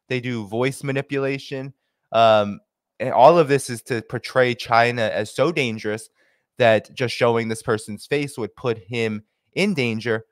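Young male commentator, studio mic, dry room, animated with lots of uhs. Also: mid-range voice, no room sound. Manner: animated, gesturing, many fillers. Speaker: host and commentator. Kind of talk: commentary on video clips. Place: small home office. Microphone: studio mic with headphones.

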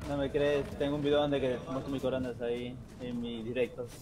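A man from Peru with a Peruvian Spanish accent, chatting casually over voice chat while playing, explaining things calmly. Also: medium pitch, brisk, crowd noise. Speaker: guest player.

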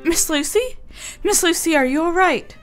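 A woman's voice: extremely high pitch, lively intonation, moderate pace, soft background music. A woman playing a loyal, worried housemaid in a radio play. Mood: alarmed.

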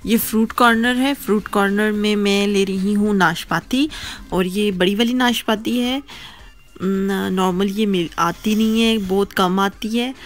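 A woman's voice: mid-range voice, steady tone, measured pace, faint music behind. A woman from Pakistan casually narrating a grocery shopping vlog as she walks the aisles, pointing out items to viewers. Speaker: vlogger. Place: supermarket aisles and streets in the UK.

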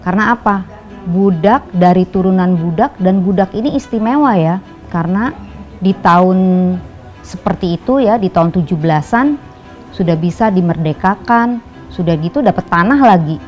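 A woman's voice: fairly low pitch, normal speed, highs cut off, soft background music. An older woman talking casually and chattily in an interview, recorded indoors at home on a lapel mic. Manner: chatty, relaxed, many fillers.

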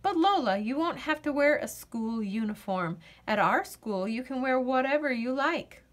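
A woman's voice: high-pitched, expressive, moderate pace. A woman voicing a patient, persuasive young boy, a big brother who coaxes and reasons, in a children's storybook. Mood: reasoning. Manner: coaxing, reasoning. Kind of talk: children's storybook read-aloud.

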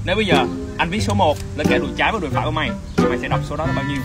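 A woman voicing a fast-talking bossy big brother. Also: sits low, animated. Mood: mocking. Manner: rapid scolding banter. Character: bossy older brother, teasing and sharp-tongued. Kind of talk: comedic skit acting. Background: loud background music.

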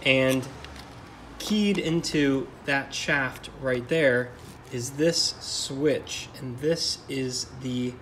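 Male DIY car vlogger, average pitch, hesitant and matter-of-fact, casual workbench demo. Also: fairly bright tone, slight background noise. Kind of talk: casual hands-on explanation to camera.

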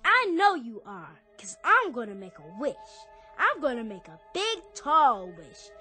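A woman's voice: a little high, wildly swooping pitch, a little slow, sharp tone, full character voice. A woman playing an earnest, devoted young boy in a radio drama, intense and colloquial, with an American accent. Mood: earnest, insistent. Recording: radio drama with music underneath.

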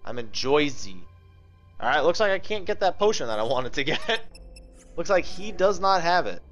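A man's voice: fairly high pitch, very animated, moderate pace, fairly bright tone. A man giving casual live video game commentary, thinking aloud and swearing as he chats with viewers. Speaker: livestream host playing a video game. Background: game music.

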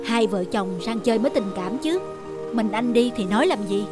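Easygoing young cartoon husband. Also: male voice, sits high, animated, normal speed, music in the background. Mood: coaxing. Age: young adult. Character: easygoing young husband, generous and family-minded. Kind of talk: cartoon family drama acting.

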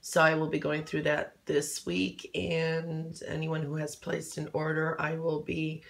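Hoarse low-voiced woman reading coffee grounds. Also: completely flat delivery, unhurried. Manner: loose and conversational, many "um"s and "okay?"s. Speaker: coffee cup reader. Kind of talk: casual fortune reading.

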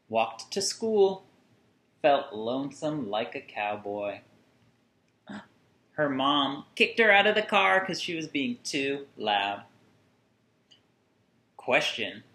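A man in his thirties reading a picture book aloud to children with deliberate, singsong phrasing and dramatic emphasis. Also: medium pitch, bright, forceful tone. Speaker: children's story reader. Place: small furnished room at home, indoors. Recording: roomy indoor echo.

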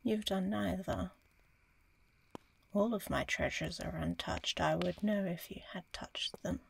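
A woman voicing an ancient female dragon in an ASMR roleplay, proud and curious, with a breathy, deliberate, teasing menace. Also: lowish voice, slight background noise. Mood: intrigued.

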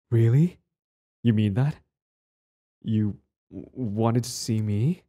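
A man's voice: on the low side, very slow, dark tone, husky, full character voice. A young man acting a cocky, possessive and smitten bully in an audio roleplay spoken to the listener. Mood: flustered, eager. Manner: stammering, swaggering, profane.

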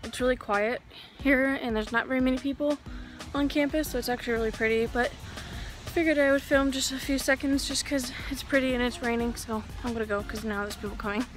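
Brief: young woman, tired, chatty vlog talk to a close phone mic, slightly high voice, music under it. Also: steady tone, fast. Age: young adult. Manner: chatty and sleepy, talking to a handheld camera. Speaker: vlogger. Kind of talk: casual vlog chat. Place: car and home, in and out of doors. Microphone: phone or camera held close.

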